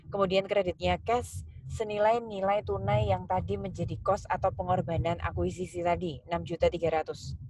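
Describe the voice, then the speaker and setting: A woman's voice: mid-range voice, poor audio. A woman with an Indonesian accent lecturing in an explanatory tutorial style, going step by step and addressing her students directly. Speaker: accounting lecturer.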